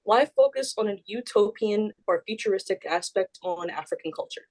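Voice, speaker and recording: Young woman youth interviewer, friendly and casual, mid-pitched voice, dry close sound. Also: moderate pace, darkish tone, no echo. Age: young adult. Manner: friendly, a bit informal.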